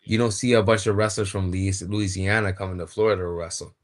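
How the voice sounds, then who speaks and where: A man with a lowish voice talks in an easy, conversational way. He teases the others and explains at a relaxed pace over a video call. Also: fast. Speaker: wrestler guest. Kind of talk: casual chat.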